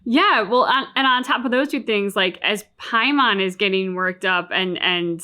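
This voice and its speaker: A woman's voice: mid-range voice, normal speed, sharp tone. A woman co-hosting a reaction channel, casually discussing a video game and acting out bits of what characters say.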